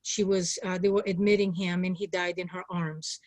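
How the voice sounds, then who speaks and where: A woman's voice: fairly low pitch, flat delivery, normal speed, a little mellow, no room sound. Earnest woman in her sixties, closely recorded. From born in Hungary. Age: 60s. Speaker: political candidate, interview guest. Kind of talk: personal testimony in an interview.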